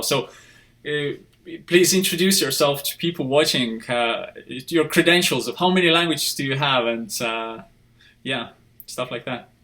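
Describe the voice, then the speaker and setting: A man's voice: mid-range voice, sharp tone. A man hosting a podcast interview, talking in a chatty way with lots of fillers and restarts. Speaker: podcast host.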